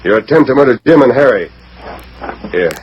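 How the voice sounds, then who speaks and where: A man's voice: medium pitch, lively intonation, a little fast, slight background noise, natural voice. A man acting a stern, righteous, commanding hero in a 1940s radio western, emphatic in delivery, on a muffled old recording. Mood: stern, resolute. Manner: authoritative, emphatic, declamatory.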